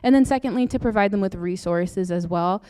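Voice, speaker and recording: Soft earnest young woman from brazil giving a talk, smooth mellow voice. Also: mid-range voice, fairly quick. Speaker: doctoral student presenter. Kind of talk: conference presentation. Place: lecture room. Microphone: handheld or podium mic.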